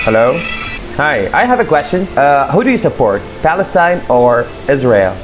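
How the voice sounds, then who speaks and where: A man runs quick interviews with strangers over video chat. He repeats his stock questions and stumbles through them with hesitant fillers. His voice sits at a middle pitch and comes through a video-call mic. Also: very animated, background noise. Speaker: host asking strangers in video chats.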